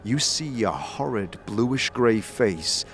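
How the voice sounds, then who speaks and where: A man narrating a dark fantasy audio drama in the second person, with deliberate, suspenseful storytelling. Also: fairly low pitch, lively intonation, faint music behind.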